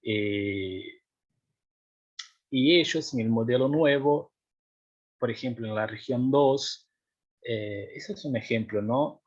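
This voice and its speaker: A man's voice: mid-range voice, slow pace, long pauses, muffled recording. A young man, a doctoral researcher explaining his work in a seminar, hesitant with many fillers and restarts, often checking if he is understood. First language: Portuguese. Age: young adult.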